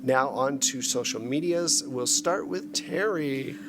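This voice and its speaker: A man's voice: medium pitch, slow pace. A man hosting and narrating a tabletop role-playing game in casual, improvised talk. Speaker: game master and host. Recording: clear background noise.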